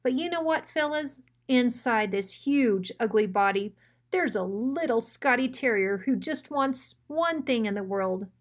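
A woman's voice: on the high side, lively intonation, a little slow, pitched above the performer's own voice. A big ugly junkyard Rottweiler from a children's storybook, acted out by a woman reader. He is a blunt bully who speaks with dramatic emphasis. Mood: mournful, self-pitying. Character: big ugly bullying male Rottweiler, gruff, then self-pitying.

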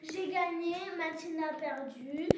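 The host's sister, speaking in grumbly, complaining asides while playing. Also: female voice, very high voice, steady tone, takes it slowly, background noise. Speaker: sister of the host. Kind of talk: casual banter while gaming. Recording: distant and echoey, loud game music.

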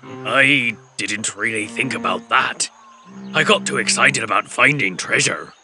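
An actor playing Captain John, a jolly bearded pirate captain, in a cartoon with music behind. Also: male voice, on the low side, a little slow. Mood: hearty.

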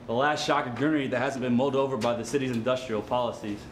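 A man with a medium-pitched voice reads a somber monologue aloud like a story, halting and measured. He sounds recorded in an echoey room with faint noise behind him. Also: fairly even intonation. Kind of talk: dramatic reading of a somber monologue.

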